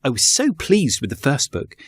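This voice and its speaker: A man's voice: mid-range voice, huge pitch swings, normal speed, husky, dry recording. A man playing a polite, earnest former politician turned author in an audio drama, speaking in a genteel, reflective way. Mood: earnest, wistful.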